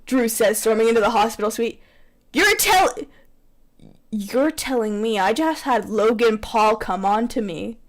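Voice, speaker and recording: A woman's voice: fairly high pitch, expressive, a little slow. A woman reading fan fiction aloud with playful banter, theatrical, bossy and giggly, on a poor amateur microphone. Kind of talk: playful read-aloud mixed with casual banter.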